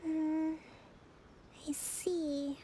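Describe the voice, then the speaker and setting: A woman voicing a gentle, composed young island leader in a video game, polite and measured but overworked. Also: sits high, level tone, a little hiss or hum. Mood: gracious, weary. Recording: game music behind the voice.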